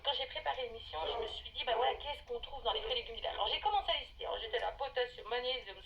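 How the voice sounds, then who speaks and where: A woman chats with enthusiasm in a casual radio discussion about her field. Her voice sits a bit high. There is clearly audible noise behind her. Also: very fast, highs cut off. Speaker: food guest on radio show.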